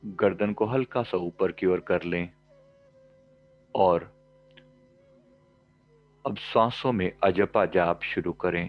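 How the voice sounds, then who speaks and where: Low, slow-paced man guiding meditation. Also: dull audio, faint music behind. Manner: calm, measured, with frequent pauses between short phrases. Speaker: meditation teacher and life coach. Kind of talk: guided meditation instruction.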